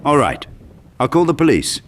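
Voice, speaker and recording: Man acting an older rabbi, agitated, skeptical, questioning, higher pitched, horror audiobook, background noise. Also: big pitch swings, moderate pace. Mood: nervous skeptical.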